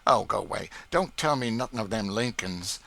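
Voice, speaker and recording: A proud, scolding older woman cook who brags about her baking in a folksy drawl, put on by a male reader. The voice sits high and loud, swooping up and down as she fusses. A storybook figure full of bustle and scorn. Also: expressive, normal speed, gravelly. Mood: scornful boastful. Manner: scolding, boastful folksy dialect. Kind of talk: audiobook character voice.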